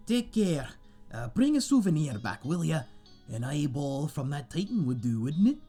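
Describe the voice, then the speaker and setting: A man playing a friendly tavern owner and former sailor, husky and chatty, telling tales in a folksy seafaring dialect in a fantasy audio roleplay. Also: fairly high pitch, sing-song, moderate pace, mellow, faint music behind. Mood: caring, playful. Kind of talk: ASMR roleplay monologue in a fantasy setting.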